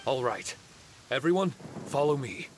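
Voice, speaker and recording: A man voicing an earnest, forgetful male guardian spirit with a deliberate manner in an anime game. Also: medium pitch, animated, background noise, lightly acted. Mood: reassuring.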